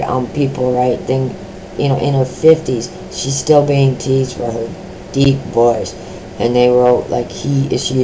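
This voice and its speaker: A middle-aged woman with a deep voice, sharing her own experiences in a personal vlog, speaking slowly with many pauses, ums and restarts. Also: background noise. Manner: slow, halting talk with many fillers and restarts.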